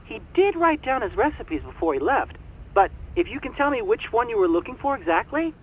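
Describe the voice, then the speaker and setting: A man's voice: very high-pitched, sing-song, a little fast, fairly bright tone, a little hiss or hum, heavily acted, poor audio. A man playing an obliging hotel barman in a video game, chatty and folksy with a theatrical delivery. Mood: helpful, chatty.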